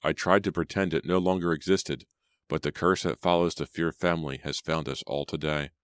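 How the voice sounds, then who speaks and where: A man's voice: a bass voice, fairly even intonation, brisk, smooth, no room sound, studio quality. A man voicing a horrified grieving father who sputters and sobs. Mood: anguished. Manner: sputtering, sobbing.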